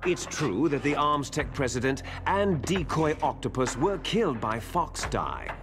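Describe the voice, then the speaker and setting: An actor playing a bitter, taunting villain, the hero's twin soldier brother, in a theatrical, smug video game cutscene style. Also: male voice, mid-range voice, sing-song. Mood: coolly explaining. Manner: theatrical, smug, drawn-out menacing delivery. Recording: loud game music behind the voice.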